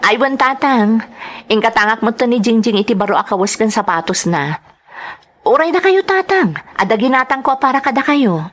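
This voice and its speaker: A woman's voice: fairly high pitch, expressive, moderate pace. A woman acting as a carefree, free-spending young mother in an audio drama, with an Ilocano accent. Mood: cheerful.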